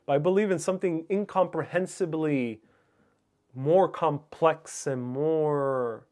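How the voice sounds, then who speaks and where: Halting man with a slight Spanish accent. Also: on the high side, darkish tone. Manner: halting, searching for words. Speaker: livestream host. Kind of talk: casual livestream chat.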